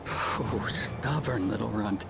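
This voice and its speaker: An actor voicing a smug, condescending deputy chief of frontier lawmen in a video game, with an American accent and a pompous, mocking manner. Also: male voice, fairly low pitch, slow pace, gravelly, background noise. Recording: music behind the voice.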